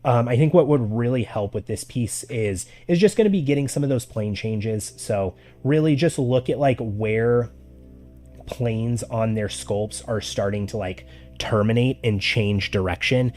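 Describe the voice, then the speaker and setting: A man giving casual art critique on a live stream, talking loosely with lots of fillers, his voice getting raspy. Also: medium pitch, measured pace, darkish tone. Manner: loose and chatty, with many "like" fillers. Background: faint background music.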